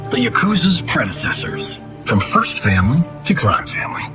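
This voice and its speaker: An actor playing a cocky, flippant Hollywood action star in a video game, with a sarcastic, theatrical delivery. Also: male voice, on the high side, huge pitch swings, moderate pace, over music. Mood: skeptical, cocky. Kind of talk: cinematic video game acting.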